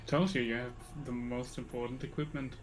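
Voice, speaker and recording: A man chatting casually as co-host of a game stream, teasing and dryly ironic, with halting phrasing. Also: mid-range voice, flat delivery, noisy background. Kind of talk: casual gameplay chat.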